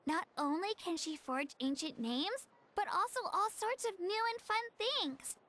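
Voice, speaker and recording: An earnest young girl warrior in a video game. The voice is high, girlish and bright, eager yet modest and a little hesitant. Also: unhurried, a little hiss or hum. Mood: admiring, hopeful. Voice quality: youthful, girlish.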